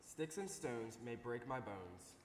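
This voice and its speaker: A man's voice: mid-range voice, animated, takes it slowly. A young man giving an earnest, declamatory readers theater recitation as a student performer. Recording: echoey hall, audience noise behind.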